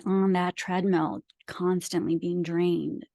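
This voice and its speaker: A woman's voice: lowish voice, little pitch movement, slow pace, mellow, no room sound. A woman from Pakistan who speaks English as a second language, talking as an author and guest in a reflective interview, with an earnest, emphatic, philosophical manner. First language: not English; English is her second language.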